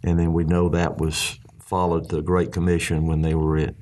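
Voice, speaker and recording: A man's voice: deep voice, monotone, normal speed, a little mellow. A man with a Southern US accent, talking about faith in an earnest, folksy way and often restarting his sentences. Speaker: ministry leader. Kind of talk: casual faith discussion.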